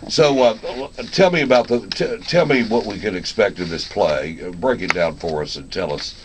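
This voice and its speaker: A man's voice: lowish voice, normal speed, a little hiss or hum. A man hosting a casual live radio interview in an informal, rambling, conversational way. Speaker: radio show host.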